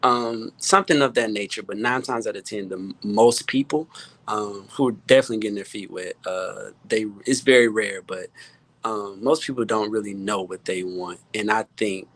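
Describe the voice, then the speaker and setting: A man with an American accent talking casually as a guest music producer, halting and repeating words as he stresses his points. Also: fairly low pitch, a bit bright, no echo. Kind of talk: casual panel talk.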